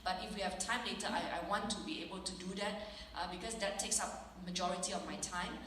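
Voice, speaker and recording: A woman's voice: fairly low pitch, quick pace, a bit bright. A woman with a Malaysian accent giving a town hall speech as an elected representative, emphatic and plain-spoken. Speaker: elected representative addressing constituents. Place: large indoor hall at a public meeting. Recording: very echoey hall, distant, faint noise.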